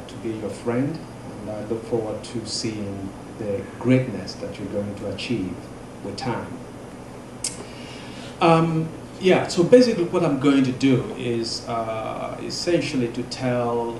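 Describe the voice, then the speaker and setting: A man with a Nigerian accent speaks slowly and deliberately, pausing on frequent fillers as he lectures. The sound is roomy and reverberant, with clear background noise under him. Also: on the low side. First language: Igbo. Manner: measured, halting, many fillers. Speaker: guest speaker. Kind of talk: public lecture. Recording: echoey room with noise.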